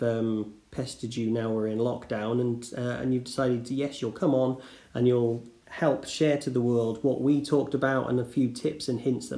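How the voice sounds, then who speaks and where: A man's voice: medium pitch, dark tone, echoey room. A man hosting a podcast from his living room, chatting casually with lots of fillers and restarts. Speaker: podcast host. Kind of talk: casual interview chat. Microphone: remote call recording.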